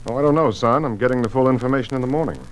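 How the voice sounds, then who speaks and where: A man's voice: mid-range voice, racing, close to an everyday voice, low-quality recording. An actor playing an earnest, exasperated middle-aged museum director and father in an old radio drama. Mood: troubled. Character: troubled museum director and indignant family father. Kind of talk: mid-century radio drama acting. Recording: old radio recording with background noise.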